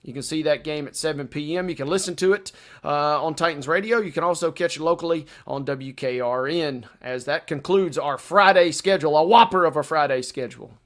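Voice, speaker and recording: A man's voice: a little high, brisk, a bit bright. An American man co-hosting a sports show, reading out the evening's schedule in sportscaster phrasing.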